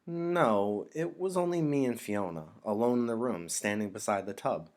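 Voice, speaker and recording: A man voicing a young boy in a storybook, halting and earnest as he confides with some embarrassment. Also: lowish voice, lively intonation, darkish tone, pitched above the performer's own voice, natural voice. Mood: uneasy confessing.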